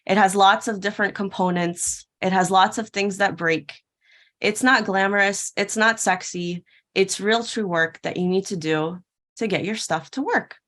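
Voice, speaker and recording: A woman's voice: medium pitch, steady tone, moderate pace, a bit bright. A woman delivering a prepared keynote talk in a playful storytelling way, posing questions and answering them. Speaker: keynote speaker at a tech conference. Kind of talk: prepared conference talk told as a fairytale parable.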